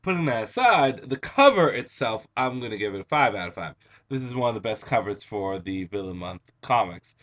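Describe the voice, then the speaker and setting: Hoarse, raspy young guy for an eager, rambling comic review on a webcam. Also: on the low side, very animated, brisk, fairly bright tone. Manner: enthusiastic, chatty, with frequent um and uh. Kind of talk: casual video review. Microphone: computer webcam mic.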